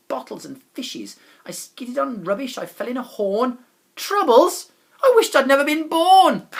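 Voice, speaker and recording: Female storybook reader: highly animated intonation, mid-range pitch, dramatic and emphatic rhymed narration for children.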